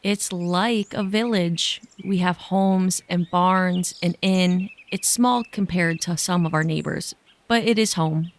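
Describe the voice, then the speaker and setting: Village girl for sci-fi audio drama, played by a woman: young guide, guarded, playful streak, slightly low pitch. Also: slow pace. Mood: guarded, quiet.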